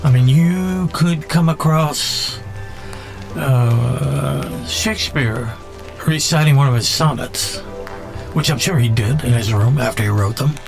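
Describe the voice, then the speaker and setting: A man speaks as a podcast host in an unscripted, reflective monologue. His delivery is halting and musing, with words repeated for emphasis, and prominent music plays along behind his voice. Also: mid-range voice, vocal fry.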